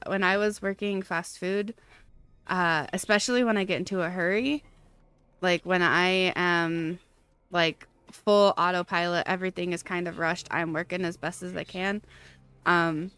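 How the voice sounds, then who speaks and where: A woman's voice: on the low side, fairly bright tone, smooth. Rambling woman streamer chatting casually. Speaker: live game streamer. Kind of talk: casual chat with stream viewers.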